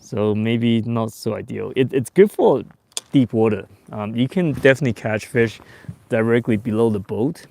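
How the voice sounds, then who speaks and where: A man in his forties who grew up in Taiwan and Australia, hosting a casual fishing livestream from a boat on a lake in a relaxed, chatty way. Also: mid-range voice, moderate pace, vocal fry. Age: 40s. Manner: relaxed and chatty, with bursts of excitement when a fish bites. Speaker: fishing show host.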